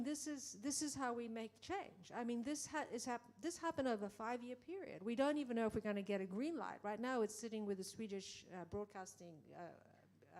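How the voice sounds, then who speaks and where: A middle-aged woman producer speaking in a conversation, animated, acting out quoted exchanges, with many restarts. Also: a little high. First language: English. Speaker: film producer. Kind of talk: panel conversation.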